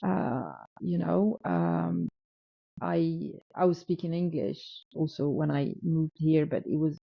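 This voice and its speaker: French-accented woman with a gravelly low voice. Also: animated, slow pace, no room sound. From North Africa. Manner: many restarts and repeated words, acts out quoted dialogue. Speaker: guest. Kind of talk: casual interview storytelling. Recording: dull lo-fi sound, dry room.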